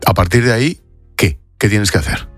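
Male news presenter, Spain accent, deep voice, narrating reports and putting questions to guests over music. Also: a little fast, dry recording. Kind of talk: broadcast news narration and interview.

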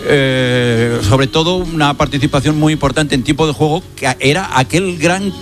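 Man, 60s, from Barcelona, veteran sports reporter, halting reminiscing talk, radio broadcast, music underneath. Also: on the high side. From Spain (Barcelona). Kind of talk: casual radio interview.

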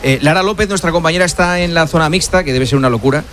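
Male sports radio host, slightly high-pitched, rapid and conversational. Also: fairly bright tone. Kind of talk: casual sports talk, introducing colleagues.

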